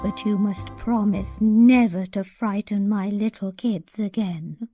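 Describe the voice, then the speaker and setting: A woman voicing a gentle but firm mother nanny goat in a children's cartoon story, hushed and measured. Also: medium pitch, fairly even intonation, soft background music. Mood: forgiving, firm.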